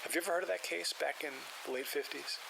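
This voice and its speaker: A man playing an aging, calm and methodical parapsychologist in an audio drama, explaining things in a measured way. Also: a little high, gravelly. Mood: explanatory. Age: older. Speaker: paranormal investigator. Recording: steady hiss and rain behind.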